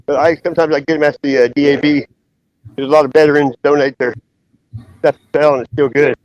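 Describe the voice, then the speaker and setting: Man streaming outdoors, slightly high voice, rambling and plain-spoken, chest-mounted phone. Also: little pitch movement, fast, a little mellow, highs cut off. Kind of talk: casual live-stream chat. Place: outdoors in bright sun. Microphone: phone camera on chest.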